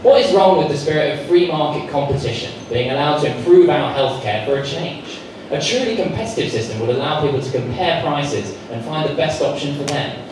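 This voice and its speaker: Pompous male right-wing columnist played by a comedian, declamatory and outraged, slightly high voice; noisy live club stage. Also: animated, a little fast, natural voice. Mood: scornful, smug. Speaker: comedy performer reading a satirical column. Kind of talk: live satirical monologue read from a script. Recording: echoey live club recording, distant, loud room noise. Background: noisy club room.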